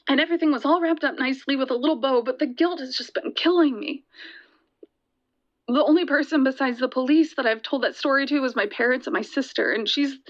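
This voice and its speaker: A woman playing a guilt-ridden young caller to a radio show, telling her story in a halting, earnest, emphatic way on a dull line. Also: sits high, natural voice. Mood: guilty, resigned. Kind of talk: dramatic confessional storytelling in an audio drama.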